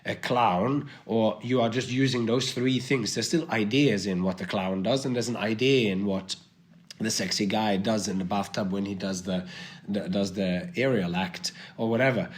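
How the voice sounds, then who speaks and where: A man with a cold and a hoarse voice, thinking aloud as a guest in a podcast conversation, with many restarts. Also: on the low side, moderate pace. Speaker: guest, performer.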